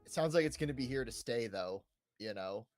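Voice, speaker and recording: A man's voice: medium pitch, expressive, a little fast, no echo, faint music behind. A young adult man co-hosting a casual gaming podcast, talking hesitantly, repeating words and often checking for agreement. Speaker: podcast co-host. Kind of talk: casual chat about video games.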